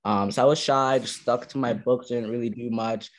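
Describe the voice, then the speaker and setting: A man's voice: medium pitch, steady tone, moderate pace. A young man from Ethiopia, a podcast guest casually telling his story from his college dorm with many fillers. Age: young adult. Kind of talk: casual interview chat.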